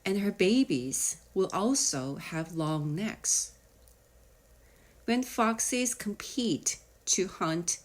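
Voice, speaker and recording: Slow, lower-voiced woman reading aloud. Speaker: audiobook reader. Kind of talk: children's nonfiction audiobook narration.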